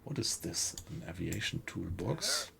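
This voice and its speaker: A man's voice: low voice, wildly swooping pitch, measured pace, gravelly, a crowd in the background. A man with a German accent streaming a survival game, chatting easily with viewers and thinking aloud as he plays. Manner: easygoing, thinks aloud, reacts to the game while talking to chat. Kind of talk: live gameplay commentary, chatting with viewers.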